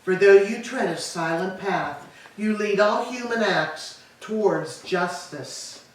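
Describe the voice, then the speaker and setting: A woman's voice: mid-range voice, expressive, slow. A woman playing a grieving, vindictive old Trojan queen in a staged tragedy reading, declaiming solemnly and fiercely. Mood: reverent. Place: church, live staged reading. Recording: echoey church, distant mic, faint noise.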